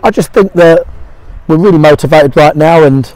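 A man's voice: fairly high pitch, normal speed, a little mellow, rough recording. A middle-aged man giving casual post-match talk as a football manager, blunt and matey, repeating short phrases for emphasis. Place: outdoors on an empty football pitch by a small stand.

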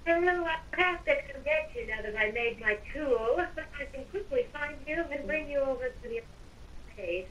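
Woman playing a sly, bright-voiced evil schemer. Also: high-pitched, expressive, moderate pace. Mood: scheming. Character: sly, coaxing evil overlord in a block game. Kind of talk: improvised Minecraft roleplay. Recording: noisy, distant mic with game ambience.

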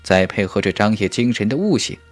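A man's voice: fairly low pitch, lively intonation, a little fast, dry recording, soft background music. A man narrating a fantasy novel as a scripted audiobook, with a dramatic storytelling delivery.

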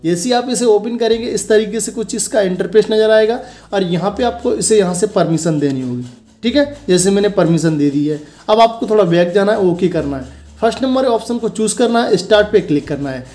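High-pitched young man giving a phone tutorial. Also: animated, fairly quick, dark tone, faint music behind. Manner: instructional, addressing viewers directly, with repeated check-in tags. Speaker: host of a phone tutorial channel. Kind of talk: casual step-by-step tech tutorial voice-over.

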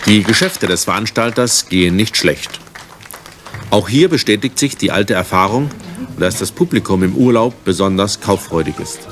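Male narrator, deep voice, scripted, factual, measured delivery. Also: expressive. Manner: measured, matter-of-fact.

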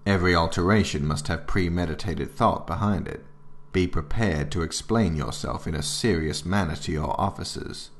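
A stern military man sets out army regulations, voiced by a male actor. His voice is very deep and gravelly. He narrates formally and deliberately, with authority, as in an audio drama.

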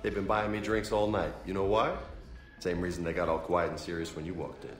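A man's voice: deep voice, flat delivery, brisk, natural voice. A man playing a friendly, swaggering young marine lieutenant in a video game, teasing and informal. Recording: roomy sound.

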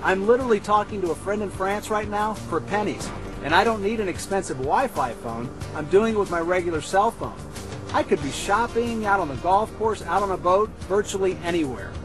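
American man, thirties, high voice, emphatic infomercial presenter, persuasive, music bed. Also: expressive, fairly quick. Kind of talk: scripted sales pitch to camera.

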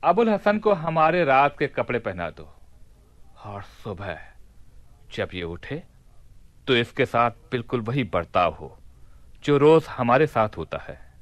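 An actor playing a commanding, mischievous caliph in a theatrical radio play. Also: male voice, mid-range voice, sing-song, dry recording. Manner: imperious, theatrical, deliberate. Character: commanding, mischievous caliph planning a prank in his palace. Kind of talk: Hindi radio play acting.

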